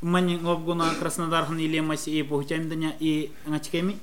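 Man interpreting sermon, quick hesitant phrases, fairly high voice, faint hiss. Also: level tone.

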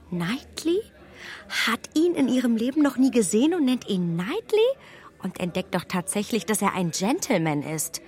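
An actress playing a spirited, opinionated young gentlewoman thinking aloud in a radio drama, with an ironic edge. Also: on the high side.

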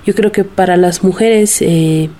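A woman's voice: fairly low pitch, a little slow, warm tone. A woman giving a spontaneous, explanatory interview answer as a researcher, feeling for her words. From Bolivia.

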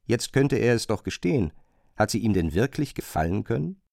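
A man narrating a literary radio drama in a measured, pointed, questioning manner. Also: lowish voice, very animated, gravelly, a little mellow, dry recording, studio quality.

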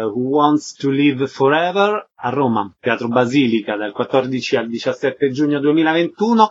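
A man's voice: medium pitch, slightly rough, highs cut off. A man, hosting a radio show, announcing events and putting questions to guests. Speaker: radio host and interviewer.

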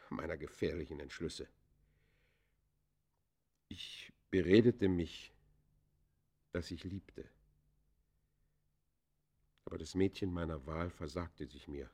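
Deep-voiced, embittered outcast poacher. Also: male voice, husky. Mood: agitated confession. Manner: formal, declamatory, dramatic swells and hushed falls. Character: embittered, disfigured outcast poacher confessing his life. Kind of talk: German radio play acting, literary monologue.

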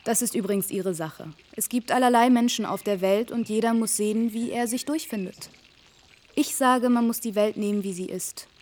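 A woman playing a brisk, practical young woman who runs her family's household in a radio play, bossy and matter-of-fact. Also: a little high, a little mellow, a little hiss or hum, close to an everyday voice.

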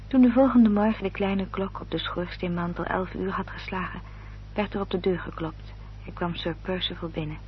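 A woman's voice: lowish voice, fairly even intonation, normal speed, close to an everyday voice. A woman playing a devoted, protective sister in a radio drama, speaking in a formal, literary and carefully articulated way. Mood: grave, anxious. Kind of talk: Dutch radio drama acting and narration. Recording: dull, noisy old radio recording with hum.

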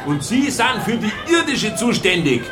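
A roomy radio-play recording of a parish priest. His male voice is fairly high, and he lectures bluntly. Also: very animated, moderate pace, over music. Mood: amused lecturing. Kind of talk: radio crime drama acting.

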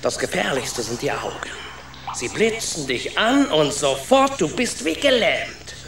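Gruff foreign rat w spanish accent, broken grammar, slow dramatic storytelling, kids radio play, echoey. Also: male voice, on the high side, sing-song, full character voice. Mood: ominous storytelling. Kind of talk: children's radio play acting. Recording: echoey room with noticeable background noise.

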